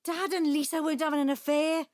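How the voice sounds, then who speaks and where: A woman's voice: high voice, little pitch movement, fast talker, no room sound, studio quality, lightly acted. A woman playing a guilt-ridden, defensive adult daughter with a pleading, distraught manner in an audio drama. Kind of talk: emotional audio drama dialogue.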